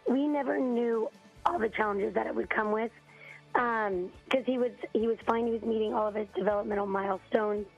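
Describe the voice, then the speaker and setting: A hoarse mother in her thirties calling in to a radio show, telling her story haltingly with many ums and throat-clearing. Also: a little high, faint music behind. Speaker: parent on a radio call. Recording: tinny phone line.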